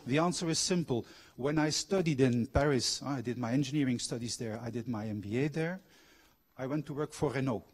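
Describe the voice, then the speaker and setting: A man's voice: medium pitch, brisk, breathy edge. A middle-aged man giving a public lecture with personal anecdotes, engaging his audience with questions. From Belgian, grew up abroad. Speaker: guest lecturer, former factory manager and trained coach. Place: university lecture hall.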